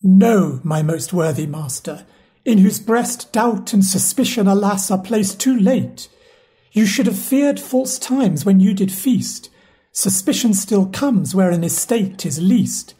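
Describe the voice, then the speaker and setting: A faithful steward pleading with a master in a Shakespearean audio play. The delivery is slow and soft-edged, humble and earnest in its grief. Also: female voice, mid-range voice, animated. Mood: earnest devotion. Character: loyal, grieving, devoted steward pleading with a master. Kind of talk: Shakespearean audio drama acting.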